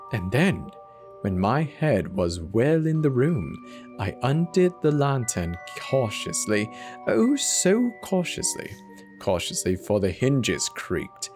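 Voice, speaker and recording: A man giving a theatrical, dramatic horror reading, swinging from hushed murmurs to manic highs, with faint music behind him. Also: very animated, slow. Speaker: narrator. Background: faint instrumental music.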